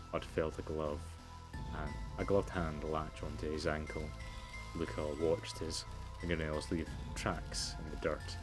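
A man doing low-key let's play commentary, reading story text aloud and muttering asides. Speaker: gamer, let's player. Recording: music behind the voice. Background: game music.

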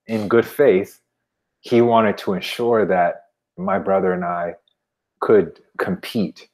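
A man in his thirties with a low voice talks casually on a video call. He shares things in an informal, reflective way, as a podcast guest would. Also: slow pace, darkish tone. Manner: informal, anecdotal, frequent "you know". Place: indoor room at home, video call. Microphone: wired earbuds on a video call.

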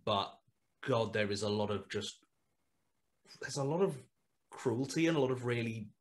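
A man in his thirties talking on a video call through a headset mic, rambling with many restarts and animated asides. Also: mid-range voice, moderate pace. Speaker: guest, horror podcast writer. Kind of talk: casual video call interview. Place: small home room indoors.

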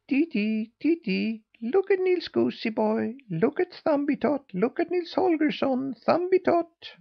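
Tiny mocking sparrow, very high falsetto, sing-song taunts, storybook creature. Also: male voice, lively intonation, unhurried, creaky, highs cut off, exaggerated voice.

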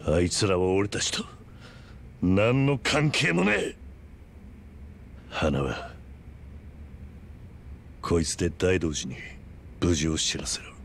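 An actor playing a stoic, gruff middle-aged yakuza legend in a video game cutscene, speaking in terse, blunt, rough phrases. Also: male voice, low-pitched, fairly bright tone, a little hiss or hum, heavily acted. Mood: angry, forceful, then stern. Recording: dramatic music under the voice.

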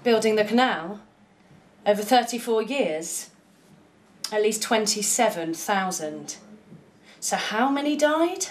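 A woman's voice: mid-range voice, lively intonation, slow, long pauses. Young woman reading poetry aloud. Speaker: poetry reader. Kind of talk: dramatic poetry reading for two voices. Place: small indoor cafe with bookshelves.

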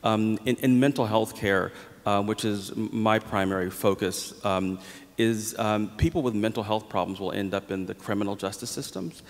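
A man on a discussion panel on a theater stage, speaking earnestly with many ums. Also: lowish voice. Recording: long hall reverb from a theater.

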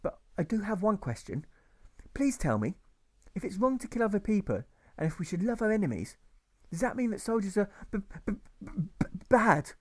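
A man voicing a nervous, earnest young boy who stammers and pleads haltingly in an audiobook story. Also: sits high, sing-song, a little mellow, pitched above the performer's own voice.

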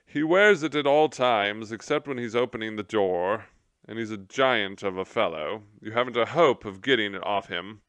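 A man's voice: fairly low pitch, normal speed, a bit bright, dry recording. A man voicing a pompous, self-pitying old male mouse general who talks in a grand, theatrical wail. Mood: glum. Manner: theatrical, wailing, grandly indignant.

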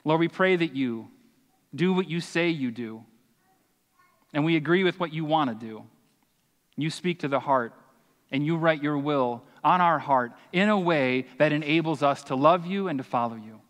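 Earnest preacher with a slightly high voice. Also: male voice, normal speed, fairly bright tone. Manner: earnest, emphatic, with call-and-response asides. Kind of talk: sermon with scripture reading and prayer. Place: church stage, large hall. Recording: long reverb of a large hall.

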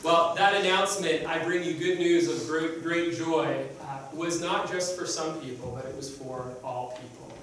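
A man's voice: on the high side, normal speed, few pauses, a bit bright. A man who directs a school choir, introducing its next piece to an audience in an earnest way, with a few fillers. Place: large bright hall with an audience. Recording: echoey hall recorded from far off, with noise.

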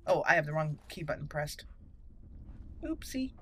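A woman's voice: low-pitched, animated, moderate pace, slight background noise. A woman hosting a casual let's play, chatty and reactive, thinking aloud as she plays. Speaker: gaming channel host.

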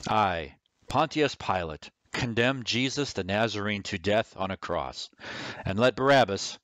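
A man's voice: on the low side, animated, measured pace, fairly bright tone, no echo. A man playing a stern, authoritative Roman governor in an amateur radio drama, declaiming his words. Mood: stern, commanding. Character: Pontius Pilate, commanding Roman governor.

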